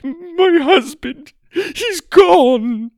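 A man voicing a panicky, distraught mother creature who wails in a high shrill voice. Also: animated, very slow, dry recording, studio quality, exaggerated voice. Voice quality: shrill, wailing.

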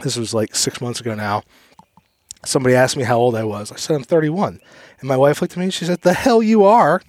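A man in his mid-thirties talks into a studio microphone in a dry, close-sounding room. He jokes, laughs a lot and tells stories with animated energy. His pitch sits in a middle range. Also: brisk, dry recording. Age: 30s. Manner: joking, laughs often, animated storytelling. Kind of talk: casual podcast chat.

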